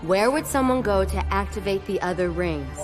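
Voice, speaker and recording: A brisk, commanding military officer played by a woman speaks in a mid-pitched voice. She is terse and insistent, pressing for answers in video game cutscene style, in an echoey space over music. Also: lively intonation, lightly acted. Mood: alarmed probing.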